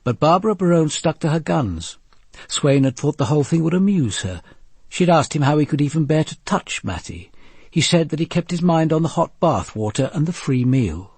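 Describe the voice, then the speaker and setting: An actor playing a grave, probing senior police detective, narrating a radio drama with a gravelly, husky voice. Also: male voice, medium pitch, darkish tone, no room sound. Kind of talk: dramatic scripted first-person narration.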